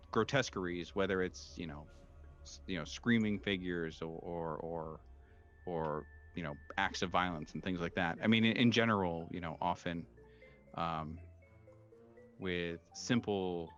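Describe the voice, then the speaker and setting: A man running a tabletop horror game, improvising his narration in halting, hesitant phrases as game master. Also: low voice, a bit bright, highs cut off. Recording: music behind the voice. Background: background music.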